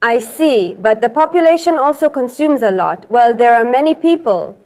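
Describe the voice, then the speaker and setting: A woman's voice: on the high side, animated, moderate pace, a little mellow. A woman chatting as a travel vlogger, explaining things with care, her nose stuffy and her throat sore, recorded on a clip-on mic in an airport. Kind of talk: casual travel vlog chat. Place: busy airport terminal. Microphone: wireless clip-on mic with noise cancelling.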